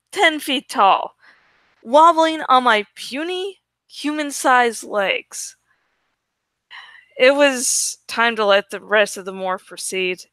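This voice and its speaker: A woman's voice: high-pitched, sharp tone, dry recording. A woman reading a first-person story aloud expressively, drawing out words for dramatic emphasis. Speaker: amateur audiobook reader.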